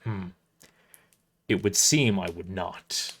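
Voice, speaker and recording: A man voicing a giant armored crusader in an audio drama, speaking in a formal, measured and blunt way. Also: low voice, fairly bright tone, husky, voice pushed down, full character voice.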